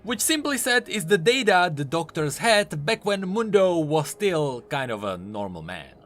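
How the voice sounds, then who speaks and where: A male video host delivers game commentary over background music. His voice is fairly high and bright, with extremely lively pitch swings from phrase to phrase. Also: a little slow. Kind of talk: scripted commentary on game voice lines.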